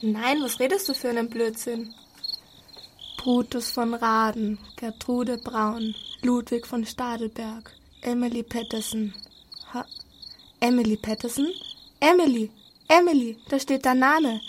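An eager but uneasy young boy, played by a schoolgirl in a school radio play. The voice is high and childlike, and the recording has clear background noise. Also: steady tone, unhurried, close to an everyday voice. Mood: playful, then alarmed. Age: child pupil. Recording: noisy amateur recording.

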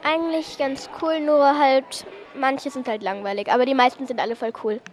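A woman's voice: high voice, steady tone, normal speed. A young girl, giving casual answers as an interviewee. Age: child, about 8 to 12.

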